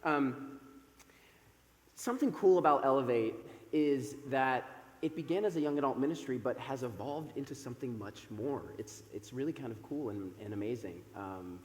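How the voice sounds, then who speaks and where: A man in his thirties, a pastor speaking from the pulpit in a church sanctuary through a microphone, loud and chatty with many fillers. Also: mid-range voice, moderate pace. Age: 30s. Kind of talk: sermon. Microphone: microphone over a PA system. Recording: echoey church hall with long reverb.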